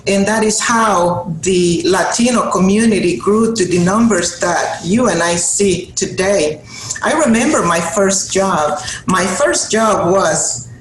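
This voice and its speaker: Honduran-accented woman giving an earnest talk. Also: mid-range voice. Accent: Honduran Spanish. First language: Spanish. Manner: earnest, emphatic, with repeated rhetorical phrases. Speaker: guest speaker. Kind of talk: motivational speech to an audience. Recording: roomy hall sound with faint noise.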